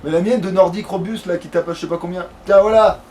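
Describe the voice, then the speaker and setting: A man's voice: sits high, fairly even intonation, fast, a little mellow, distant mic, slight background noise. A man doing casual let's play commentary, rambling and grumbling in crude slang with plenty of swearing. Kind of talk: casual gameplay commentary chat.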